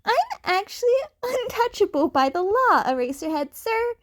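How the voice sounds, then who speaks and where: A woman's voice: extremely high pitch, sing-song, normal speed, fairly bright tone, voice pushed up, exaggerated voice. A woman voicing a cheeky young cat boy in a fan fiction audiobook, polite and innocent on the surface but defiant. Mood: innocent, smug.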